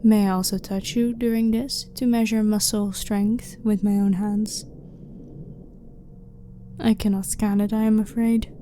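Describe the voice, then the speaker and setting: A woman playing a blunt, clinical but harmless alien scientist in an ASMR sci-fi roleplay, speaking in a formal, measured, matter-of-fact way. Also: medium pitch, flat delivery, dark tone, lightly acted. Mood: calm, polite. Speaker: ASMR voice performer. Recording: clearly noisy ambient background.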